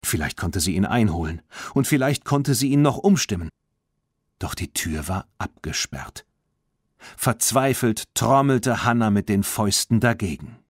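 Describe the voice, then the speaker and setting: A man narrating a children's story as a scripted audiobook, in an expressive storytelling manner. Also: fairly low pitch, unhurried, husky, dry recording, clean studio sound.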